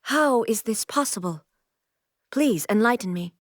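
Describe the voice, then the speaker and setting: A woman's voice: on the high side, animated, no echo, studio quality. A woman playing a stern, regal deity ruler in a video game, speaking in a formal, composed, stately way. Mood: solemn, commanding. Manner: formal, stately, measured delivery. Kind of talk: scripted video game character voice lines.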